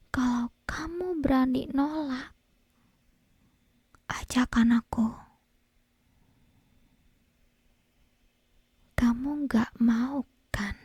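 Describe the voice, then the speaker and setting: A woman acts out a possessive, sweetly menacing yandere kidnapper for an ASMR roleplay. Her voice is a very husky, breathy whisper, soft and mellow in tone. She lingers on her words with intimate slowness, very close to the mic in a dry space. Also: fairly high pitch, no room sound, full character voice. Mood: quietly threatening. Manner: slow, intimate, drawn-out phrasing with soft giggles. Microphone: close binaural ASMR microphone.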